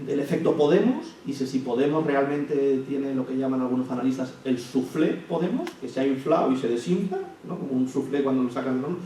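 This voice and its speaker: A man giving a public lecture, measured and thinking aloud with frequent restarts and hesitations. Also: mid-range voice, mellow. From Spain. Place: lecture hall. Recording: echoey hall, from far off, faint hiss.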